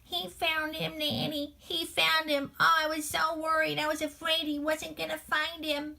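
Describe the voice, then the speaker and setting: A woman's voice: high-pitched, level tone, unhurried, creaky, sharp tone, pitched above the performer's own voice, exaggerated voice. A woman voicing a small, childlike, curious and easily worried character for a children's storytime. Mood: relieved. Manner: childlike, eager, worried exclamations and questions. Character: Squishy, curious anxious childlike little puppet pal.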